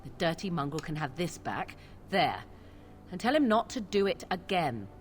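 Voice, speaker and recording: A woman's voice: lowish voice, sing-song, normal speed, soft background music. An actress playing a haughty, scornful witch in a video game, with a cutting, disdainful delivery. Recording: game audio with faint noise.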